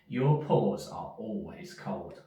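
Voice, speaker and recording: A man's voice: lowish voice, animated, mellow, dull audio. A man voicing a big, weary adult male mouse from a children's storybook, curt and dismissive. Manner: curt, dismissive, drawn-out words. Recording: echoey room, distant from mic.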